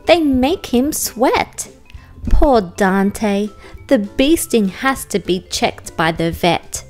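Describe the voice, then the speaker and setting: A woman's voice: mid-range voice, animated, takes it slowly, darkish tone. An Australian woman reading a children's picture book aloud in rhyme, expressively and with careful enunciation for young listeners. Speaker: storytime narrator and host. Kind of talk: children's picture book read aloud, scripted rhyming narration. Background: music.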